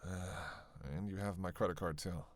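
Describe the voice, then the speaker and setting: A man's voice: low voice, steady tone, unhurried. A man playing a sardonic, playful vampire who banters sarcastically with his hunter in an audio roleplay. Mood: sarcastic. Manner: sarcastic, playful, drawling asides with sighs and scoffs. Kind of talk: audio roleplay acting, one-sided dialogue.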